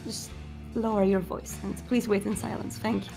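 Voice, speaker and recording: A woman playing a polite, welcoming male vampire prince in a tabletop roleplay, speaking in a formal, measured way. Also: medium pitch, little pitch movement, creaky, dark tone, soft background music, lightly acted. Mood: gently firm.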